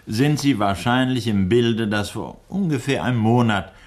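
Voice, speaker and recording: An actor in a 1960s German radio crime drama playing a middle-aged doctor, composed, firm and shrewd. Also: male voice, mid-range voice, natural voice. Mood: measured narrating. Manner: measured, firm, deliberate. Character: composed, authoritative male doctor, shrewd and upright.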